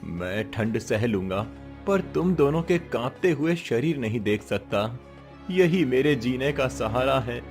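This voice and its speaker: Poor village father, acted by a man, earnest, solemn, tender, resolute, storybook drama, clear music behind. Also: mid-range voice, animated, a little slow, a little mellow. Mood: tender, weary. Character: poor, proud, determined village labourer father, tender with children. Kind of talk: Hindi moral story voice acting.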